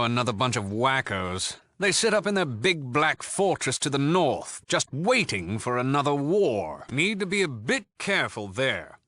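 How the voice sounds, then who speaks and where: A witty British rogue who leads a gang in a video game. He is sardonic and disdainful, with a bright, animated delivery and a dry, close sound. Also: mid-range voice, no echo. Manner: wry, sardonic, flippant. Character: cheeky, worldly thief-gang leader, witty and disdainful. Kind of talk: video game character dialogue.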